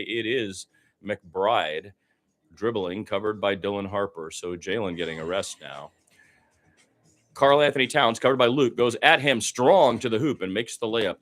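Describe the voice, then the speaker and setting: A man doing live radio play-by-play of a basketball game in short, clipped phrases that rise with the action. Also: on the low side. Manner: narrates action in short clipped phrases, rising excitedly on big plays.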